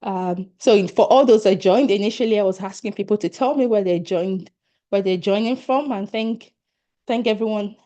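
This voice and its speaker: A woman's voice: medium pitch, normal speed, dark tone. Earnest Nigerian-accented woman coach. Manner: earnest and encouraging, addressing attendees directly. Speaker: leadership coach. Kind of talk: online workshop presentation.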